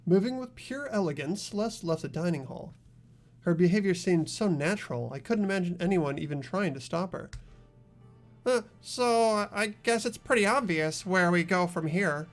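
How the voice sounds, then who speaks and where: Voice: medium pitch, animated, moderate pace. A player narrating and voicing the lead in a fan dub, plain and earnest. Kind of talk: fan voice acting of a game script. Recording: faint music behind. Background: faint game music.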